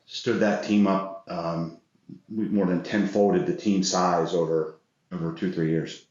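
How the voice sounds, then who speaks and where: A middle-aged American man answering interview questions as a guest, earnest and measured in the way he talks. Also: low voice, level tone, darkish tone. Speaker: interview guest, business executive. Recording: very echoey room, slightly dull sound.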